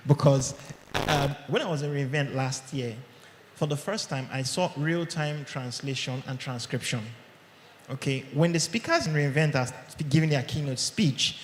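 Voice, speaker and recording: Male speaker, mid pitch, conversational conference talk, keeps asking "okay?", booming hall reverb. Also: normal speed, slight background noise. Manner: conversational, checks in with "okay?" often. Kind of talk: live presentation to an audience. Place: large hall. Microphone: handheld or podium mic. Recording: echoey hall with long reverb.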